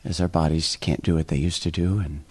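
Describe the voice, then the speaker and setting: An older man reflectively sharing what meditation means to him, in a gentle manner. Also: rumbling low, fairly even intonation, brisk, husky, no echo. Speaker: meditation group member. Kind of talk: personal sharing in a group.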